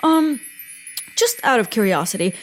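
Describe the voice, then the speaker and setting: An actress playing a snarky, hot-headed young internet troll with excitable, exaggerated sarcasm in a comedic audio drama. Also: female voice, a little high, normal speed, soft background music, rough recording. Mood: bemused, sheepish.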